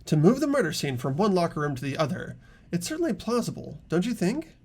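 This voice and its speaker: A man's voice: high voice, sing-song, fast, darkish tone, breathy edge, exaggerated voice. A man playing an earnest teenage boy who reasons aloud tentatively in an amateur game dub. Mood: earnest, thoughtful.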